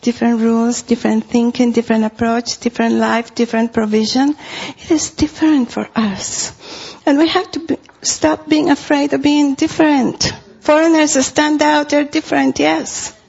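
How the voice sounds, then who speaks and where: Woman preaching w/ spanish accent, kinda high gravelly voice, dull lo-fi sound. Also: steady tone, moderate pace, few pauses, a little mellow. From Costa Rica. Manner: earnest, repeats phrases for emphasis. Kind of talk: sermon.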